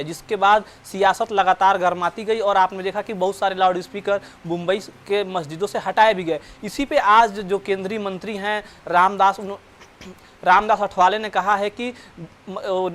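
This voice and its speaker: A man reporting news live and explaining unscripted, in a halting way, often repeating and correcting his words. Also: sits high, fairly bright tone.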